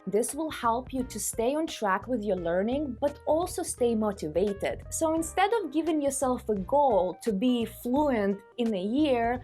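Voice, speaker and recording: A woman's voice: on the high side, darkish tone. Ukrainian-accented woman sharing language tips. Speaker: language-learning YouTuber. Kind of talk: scripted tips to camera.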